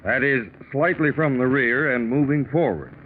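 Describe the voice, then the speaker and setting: A matter-of-fact police captain played by a man, official and precise, with a mid-pitched voice. He sounds like 1940s radio drama, dulled and faintly noisy. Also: normal speed, phone-quality sound, close to an everyday voice.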